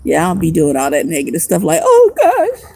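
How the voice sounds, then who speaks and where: A woman's voice: low voice, gravelly, mellow, husky, dry recording. A woman who is a homeless vlogger and music producer, chatting casually and rambling in slang on a phone livestream outdoors at night.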